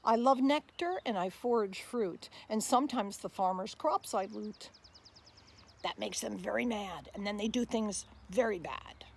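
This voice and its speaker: A woman's voice: mid-range voice, unhurried, gravelly, breathy edge. A woman voicing a small, swift, mischievous mongoose lemur in a rhyming picture book, with bouncy, emphatic delivery. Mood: playful, boastful.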